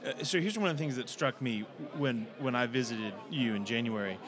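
A man hosting a discussion, searching for words with many pauses and fillers. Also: medium pitch, crowd noise. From United States. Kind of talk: casual discussion.

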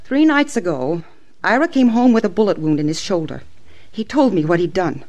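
An actress playing an anguished but resolute frontier wife in a radio western, with halting, earnest delivery. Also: female voice, medium pitch, lively intonation, a little mellow, dull audio, close to an everyday voice. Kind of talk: old-time radio western acting.

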